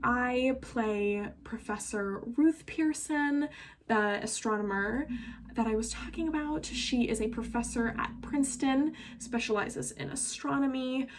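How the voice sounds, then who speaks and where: Young woman, fairly high voice, casual interview, student actor, clear background noise. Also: a little slow. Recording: noisy recording.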